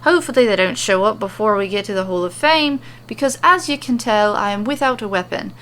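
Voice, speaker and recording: A woman's voice: medium pitch, lively intonation, fairly quick, a bit bright, close to an everyday voice. A woman voicing a jolly, boastful Irish woman warrior in a fantasy story, theatrical and friendly with a dour streak.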